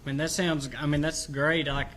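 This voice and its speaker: A man's voice: a little high, little pitch movement, moderate pace, a bit bright, a little hiss or hum. A man, hosting a podcast and rambling as an eager wrestling fan, full of fillers. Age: 30s. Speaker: podcast host. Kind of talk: casual podcast chat. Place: small studio.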